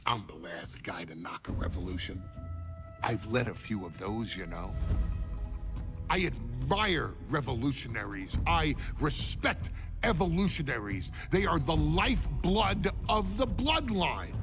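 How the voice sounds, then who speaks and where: Animated male narrator over music. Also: medium pitch, a little slow. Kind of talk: dramatic narrated monologue. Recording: music bed behind voice.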